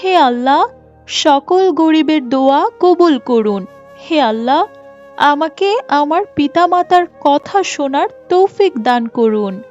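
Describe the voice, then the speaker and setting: A woman acts a pious child in a moral cartoon. The voice is high and earnest, reciting in a pleading way. Faint music sits under the slightly dull recording. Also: expressive, takes it slowly, darkish tone. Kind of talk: dubbed moral cartoon acting. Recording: muffled audio with music behind.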